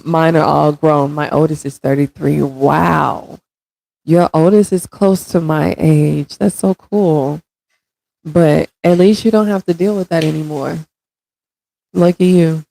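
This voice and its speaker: A woman in her thirties hosting a casual livestream, congested and with a raspy throat, chatting directly with viewers and reading their comments. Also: deep voice, warm tone, no echo, low-quality recording. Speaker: stream host.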